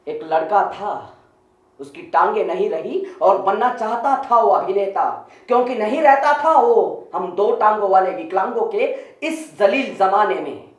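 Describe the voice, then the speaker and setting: A man playing an anguished, brooding stage actor, delivering a grandiose, declamatory monologue with dramatic pauses. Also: sits high, animated. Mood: pleading, fervent. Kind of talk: theatrical Hindi stage monologue. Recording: echoey room, distant microphone.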